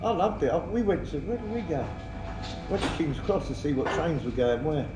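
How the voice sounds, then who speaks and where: A man's voice: a little high, very animated, fairly quick, reverberant, far from the mic, loud music behind the voice, rough recording. A man with a Southern English accent, teasing in mock-formal banter with friends. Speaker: guest. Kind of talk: casual banter.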